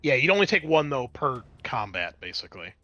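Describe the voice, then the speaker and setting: Bright-voiced man running a tabletop game. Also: mid-range voice, very animated, fairly quick, muffled recording, faint music behind. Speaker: game master. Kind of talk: casual tabletop game narration and rules explaining.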